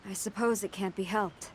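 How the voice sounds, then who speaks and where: An actress playing a stern, dutiful military commander in a video game, formal and composed. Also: female voice, medium pitch, noisy background, natural voice. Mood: resigned, courteous. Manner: formal, measured. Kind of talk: English video game voice acting.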